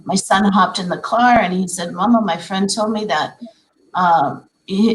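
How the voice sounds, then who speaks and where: A woman's voice: mid-range voice, fairly bright tone, low-quality recording. A woman in her forties from Minnesota with a Minnesotan accent, an educator speaking earnestly on a panel with frequent ums and restarts. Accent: Minnesotan American. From Minnesota, USA. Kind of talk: conversational panel discussion.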